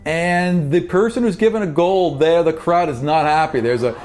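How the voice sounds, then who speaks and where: A man's voice: fairly high pitch, moderate pace, a little mellow. A man narrating a tabletop roleplaying game as game master.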